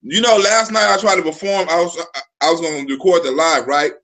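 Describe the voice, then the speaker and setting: High-voiced man in his forties, loose and profane. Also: brisk, fairly bright tone. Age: 40s. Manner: loose, profane storytelling with repeated restarts. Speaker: live show host. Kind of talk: casual live-stream chat.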